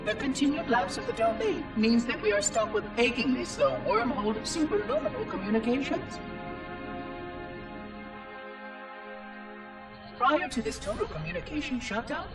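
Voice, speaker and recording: A man's voice: very high-pitched, heavily acted. A man voicing a fussy, lonely, curious ancient robot caretaker in a video game, speaking precise, formal lines through a synthetic effect. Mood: puzzled, formal. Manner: precise, formal, prim diction. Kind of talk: scripted video game monologue. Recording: echoey, distant voice over music.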